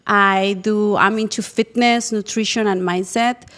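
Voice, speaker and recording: A woman from Argentina with an Argentine Spanish accent, a fitness coach guest speaking polite, earnest, slightly halting English. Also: mid-range voice, fairly even intonation, fairly bright tone. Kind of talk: casual podcast interview.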